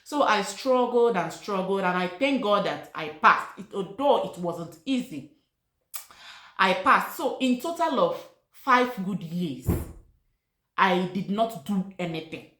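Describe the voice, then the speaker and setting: A woman's voice: mid-range voice, expressive, takes it slowly, a bit bright. A middle-aged woman from Nigeria whose first language is Igbo, telling her personal story to camera in a casual, earnest way and repeating key words for emphasis. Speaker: vlogger. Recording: echoey room.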